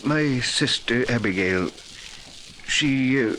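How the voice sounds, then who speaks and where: Courtly, devoted gentleman host: unhurried, halting, apologetic formality, mid-range voice, old radio drama. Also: medium pitch, animated. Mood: hesitant, pleading. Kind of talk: 1940s radio acting. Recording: faintly noisy old 1940s radio recording.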